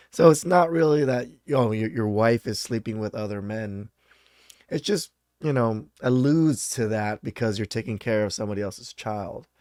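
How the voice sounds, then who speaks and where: A man's voice: mid-range voice, darkish tone, dry recording, clean studio sound. A man co-hosting a podcast, blunt and dismissive as he explains his view.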